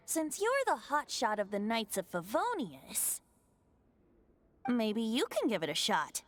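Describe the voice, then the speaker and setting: Cheeky young bard boy from a game, high voice, very animated, teasing and breezy. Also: a little hiss or hum. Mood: sly. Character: youthful, impish male bard, playful and slyly theatrical. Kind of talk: animated video game voice acting.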